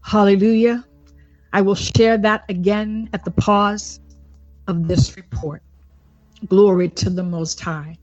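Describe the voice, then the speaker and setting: A woman evangelist reads a report like a sermon, in emphatic, deliberate, broken phrases, repeating points for weight. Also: medium pitch, steady tone, a little mellow. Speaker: evangelist reading a prophecy report. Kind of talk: sermon-like scripted report reading. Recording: dull lo-fi audio with music underneath. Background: music.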